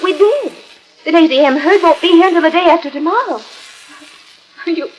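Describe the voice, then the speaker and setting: A woman's voice: very high-pitched, normal speed, low-quality recording. A woman playing an emotional young American female rancher, earnest and dramatic in 1940s radio acting style. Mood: crushed, pleading. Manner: earnest, breathlessly hesitant, dramatic. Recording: old transcription disc with faint noise.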